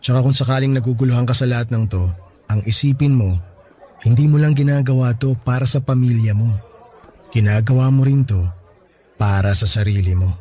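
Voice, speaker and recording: A man's voice: mid-range voice, fairly even intonation, brisk, mellow, close to an everyday voice. A man with a Filipino accent playing a level-headed young friend who gives earnest, reassuring advice in a Tagalog radio drama. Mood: earnest, advising.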